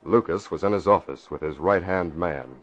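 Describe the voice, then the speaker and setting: An American man giving grave, measured scripted narration for a 1940s radio drama. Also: deep voice, faint music behind. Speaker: story narrator. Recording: muffled vintage radio recording.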